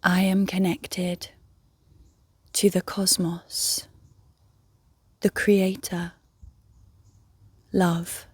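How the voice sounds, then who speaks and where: A woman leading a guided meditation in a soft, breathy, near-whispered voice, gently and soothingly, with long pauses. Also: lowish voice, level tone, no room sound. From England. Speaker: meditation guide, yoga teacher.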